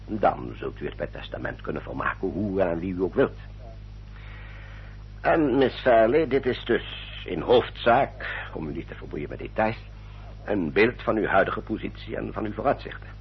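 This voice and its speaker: An actor playing a courteous English family solicitor in a radio drama, speaking in a formal, measured, explanatory manner. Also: male voice, low-pitched, big pitch swings, slight background noise. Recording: dull, muffled old radio recording.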